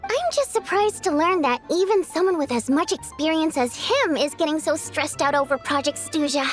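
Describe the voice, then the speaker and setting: A woman voicing an inquisitive Fae theater performer in a video game, chatty and expressive. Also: sits high, normal speed, soft background music. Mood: curious. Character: Miss Vodyanica, female Fae theater performer, socially concerned, inquisitive.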